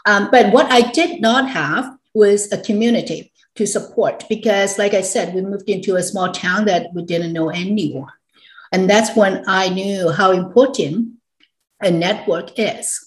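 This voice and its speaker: A woman around sixty, originally from Hong Kong, chats through her story in a casual interview. She laughs often and fills pauses with many "uh"s and restarts. Her voice carries a noticeable echo from the room. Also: mid-range voice. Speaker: guest, educator and entrepreneur. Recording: echoey room.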